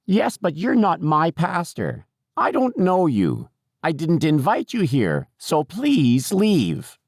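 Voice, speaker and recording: A man voicing an indignant, confused old woman in an audiobook, loud and stubborn as she protests. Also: on the high side, wildly swooping pitch, slow pace, vocal fry, dry recording, clean studio sound, voice pushed up, exaggerated voice.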